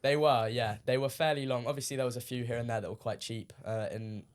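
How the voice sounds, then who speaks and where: A teenage man from the UK, a guest on a podcast, talking casually with lots of ums, his voice a little croaky from shouting. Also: mid-range voice, quick pace. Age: teens. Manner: relaxed, many ums, recounting matches. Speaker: guest player.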